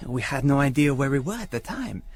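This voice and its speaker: A husky, lively male voice as a young escaped prisoner of war. He is earnest and friendly, telling his tale in a radio drama. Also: mid-range voice, fairly quick. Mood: earnest recounting. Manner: animated storytelling.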